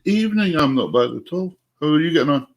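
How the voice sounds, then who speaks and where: A man's voice: fairly high pitch, lively intonation, fast, a crowd in the background. A Scottish man hosting an online music show, chatting casually in a folksy, informal way. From Scotland. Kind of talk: casual live chat.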